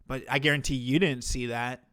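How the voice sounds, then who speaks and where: A man's voice: medium pitch, steady tone, a little fast, fairly bright tone. A man hosting a livestream, reacting to a clip with mocking, profane commentary. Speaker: commentary streamer host.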